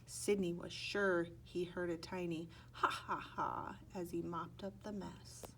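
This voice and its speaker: Expressive woman reading a children's storybook. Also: lowish voice, a little hiss or hum. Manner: drawn-out words and acted sound effects. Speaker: storybook reader. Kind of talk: expressive children's picture-book narration.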